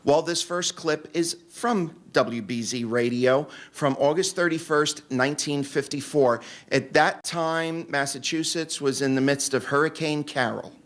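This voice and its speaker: A man presenting to a convention audience in a relaxed, joking manner with fillers. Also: fairly high pitch, a little slow, hardly any pauses. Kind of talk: casual talk to an audience. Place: convention room.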